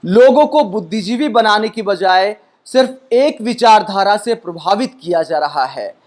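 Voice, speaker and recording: A man's voice: sits high, lively intonation, measured pace. A man presenting critical news commentary, speaking emphatically with rhetorical questions and repeated phrasing.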